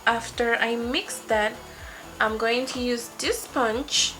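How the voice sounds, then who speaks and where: A woman's voice: a little high, steady tone, takes it slowly, bright, forceful tone, soft background music, poor audio. A young woman whose first language is Spanish, chatting her way through a makeup tutorial in a small room at her desk. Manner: chatty, talks through each step while applying makeup. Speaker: beauty vlogger. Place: small home room with patterned wall. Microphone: webcam framing, seated at desk.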